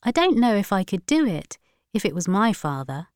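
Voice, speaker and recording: A woman's voice: mid-range voice, sing-song, normal speed, no room sound, studio quality, close to an everyday voice. A woman voicing an admiring young female animal activist in an earnest, matter-of-fact way.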